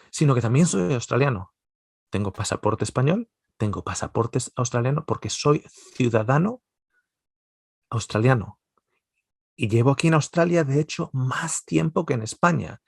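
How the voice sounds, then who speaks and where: Middle-aged Spanish-accented man, seminar speaker, gravelly voice, dry close sound, lively and rambling. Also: medium pitch, normal speed, no echo. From Spain, Basque Country. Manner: animated, digressive, many restarts and stammers. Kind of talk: religious seminar talk.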